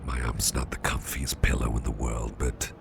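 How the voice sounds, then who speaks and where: Man acting as a very deep, gravelly protective boss. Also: little pitch movement, normal speed, full character voice. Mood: soothing, reassuring. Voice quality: very deep gravelly half-whisper. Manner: soft, reassuring, hesitant with repeated phrases. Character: protective, gentle, fussing male boss comforting exhausted employee. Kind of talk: ASMR roleplay, close personal attention. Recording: close recording with loud background noise.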